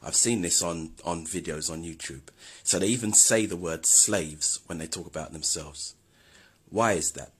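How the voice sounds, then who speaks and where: Husky, very deep-voiced man. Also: moderate pace. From London, England. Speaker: video host. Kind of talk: scripted history commentary.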